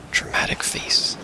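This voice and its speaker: A man giving casual, sarcastic commentary as he plays a video game. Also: low-quality recording. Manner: sarcastic, wry. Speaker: let's play host. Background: game music.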